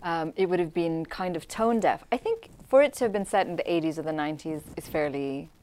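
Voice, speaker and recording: Deep-voiced, reflective woman from Syria. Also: expressive, fairly quick, a little mellow. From Syria, grew up in Damascus. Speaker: interview guest. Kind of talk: conversational commentary on culture.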